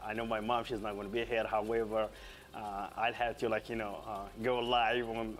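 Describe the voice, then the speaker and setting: A graduating man, from Morocco, speaking haltingly in a testimonial interview. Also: lowish voice, a bit bright. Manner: halting, searching for words. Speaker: graduating student.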